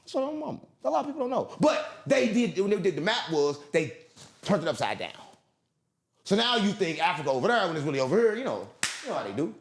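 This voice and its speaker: A man's voice: sits high, sing-song, quick pace, gravelly. A man being interviewed, talking in a rambling, emphatic, profane way and repeating himself. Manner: emphatic, repetitive, street slang, profane. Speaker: interview guest. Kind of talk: rambling conspiratorial monologue.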